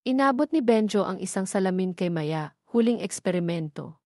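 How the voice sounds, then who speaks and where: Filipina storyteller, dry close recording. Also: female voice, mid-range voice, lively intonation, normal speed, dark tone, no echo. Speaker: narrator. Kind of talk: scripted audio drama story narration.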